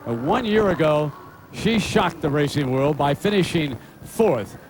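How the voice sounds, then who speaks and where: A man narrating a pre-race broadcast feature in a measured way. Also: fairly high pitch, animated, background noise. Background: music.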